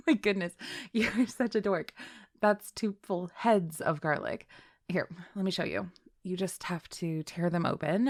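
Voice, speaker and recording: A woman's voice: lowish voice, creaky, no echo, clean studio sound. A woman playing a chill, teasing young best friend in a casual, intimate roleplay audio, chatting playfully with little hesitations. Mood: amused. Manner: laid-back, playful, giggly, hesitant little fillers.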